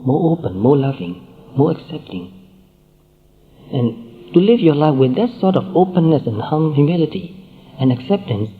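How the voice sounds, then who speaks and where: Man giving a Buddhist talk over a tinny line. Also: fairly high pitch. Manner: measured, repetitive phrasing with pauses. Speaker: Buddhist teacher. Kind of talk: dharma talk. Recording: tinny phone-like sound.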